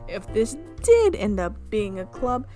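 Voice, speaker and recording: A woman streamer reading a visual novel aloud in an expressive storytelling way, with asides. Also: mid-range voice, measured pace. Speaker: video game streamer. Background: game music.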